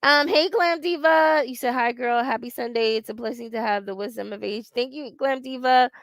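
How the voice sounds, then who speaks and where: A woman's voice: fairly high pitch, normal speed, a bit bright, dry recording. Profane, rambling woman in her forties. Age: 40s. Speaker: livestream host. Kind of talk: casual livestream chat, reading viewer comments.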